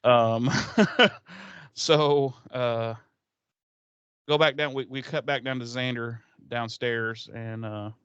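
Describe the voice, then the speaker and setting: A man with a smooth, clean voice and average pitch, recorded very dry. He talks casually as a podcast co-host, rambling through a recap with sarcasm and swearing. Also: steady tone, takes it slowly, fairly bright tone, no room sound, highs cut off.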